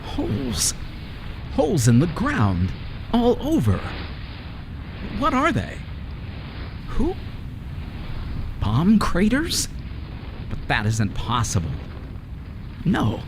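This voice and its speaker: An actor playing a cold, selfish, middle-aged scientist husband in an impatient, condescending manner in an old-time radio horror drama. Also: male voice, a little high, huge pitch swings, slow, long pauses, noisy background, exaggerated voice. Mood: tense, puzzled.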